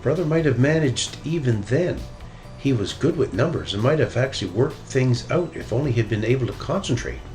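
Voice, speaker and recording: A man narrating a children's story in an expressive read-aloud style. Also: mid-range voice, a little fast, soft background music, low-quality recording. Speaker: storybook reader.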